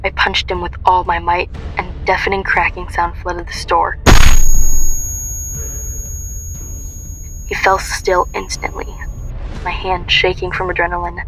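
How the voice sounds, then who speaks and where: A woman's voice: lowish voice, completely flat delivery, moderate pace, over music, natural voice, poor audio. A woman playing a traumatized young woman who narrates her violent awakening in an audio drama. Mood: intense. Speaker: lead actress and narrator.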